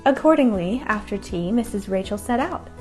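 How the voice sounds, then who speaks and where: A woman's voice: mid-range voice, animated, moderate pace, dark tone. A woman narrating a children's bedtime story from a script. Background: music.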